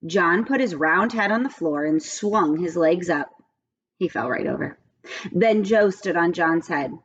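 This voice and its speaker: A woman reads a children's story aloud with very animated intonation. Her voice sits slightly low and is a bit husky, with a friendly, direct manner. The recording is slightly dull. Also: a little slow. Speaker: read-aloud teacher. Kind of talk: expressive children's story reading.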